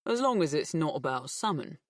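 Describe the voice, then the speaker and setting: A woman voicing a polite, guarded young male police officer in an audiobook, in a measured, official way. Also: low-pitched, sing-song, no room sound, studio quality. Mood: casual.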